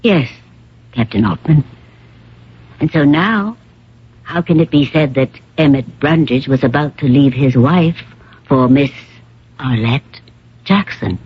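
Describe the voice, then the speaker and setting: An actress playing an elderly, poised and theatrical stage star with a condescending manner in a radio drama. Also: female voice, sits low, slow pace, pauses often, slightly rough, full character voice. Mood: haughty. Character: elderly stage actress, poised, grandly self-possessed wife. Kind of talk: 1970s-80s radio drama acting. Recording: dull lo-fi old radio recording.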